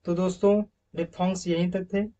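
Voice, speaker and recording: A man teaching English phonetics over slides, repeating each example word several times in a loud, drawn-out way. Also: high-pitched, flat delivery, muffled recording. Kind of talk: slide-based phonetics lesson.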